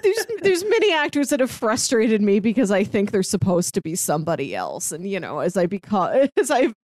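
A woman co-hosts a relaxed podcast about films, with a medium-pitched voice. She rambles along in a wry, joking way. The sound is dry, with very little room on it. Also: a little fast, no echo. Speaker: podcast co-host. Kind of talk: casual chat about films.

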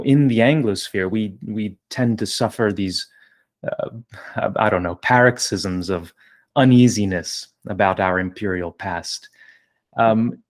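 A man from New Jersey hosts an interview and asks long, carefully built questions. His voice is a little low, and his phrasing is formal and measured. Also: darkish tone. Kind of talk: casual interview conversation.

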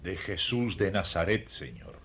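A man's voice: deep voice, animated, unhurried, a bit bright, husky, exaggerated voice. A man playing a humble, devout ancient Judean traveler in a radio play, hesitant, respectful and earnest.

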